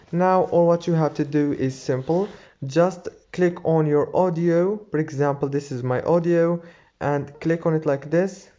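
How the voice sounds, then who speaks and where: A man's voice: on the high side, mellow. A man narrating a step-by-step app tutorial as its host, in a dull lo-fi voice-over recording.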